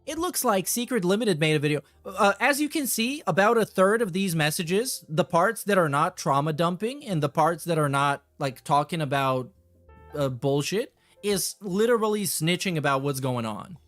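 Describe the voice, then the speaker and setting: A man's voice: high-pitched, expressive, moderate pace, fairly bright tone, soft background music. A man hosting a reaction stream, reading messages aloud and commenting casually in a sardonic, dismissive, sweary way.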